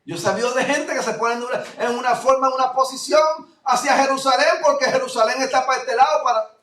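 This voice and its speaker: A male pastor from Puerto Rico with a Puerto Rican accent, preaching a fervent sermon that swings between loud declarations and low asides. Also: fairly even intonation, quick pace. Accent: Puerto Rican Spanish. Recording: echoey room, voice from far off mic.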